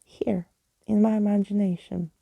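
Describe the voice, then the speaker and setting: A woman's voice: medium pitch, level tone, normal speed, gravelly, dark tone, dry recording. A woman voicing a kind, plucky young girl with an earnest, reassuring manner in a storybook.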